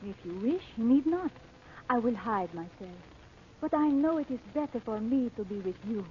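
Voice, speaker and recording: A woman's voice: on the high side, expressive, normal speed, close to an everyday voice. An actress playing a devoted, stubborn foreign woman in an old-time radio serial, speaking in formal, careful phrases. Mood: devoted, insistent. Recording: dull, noisy old radio recording.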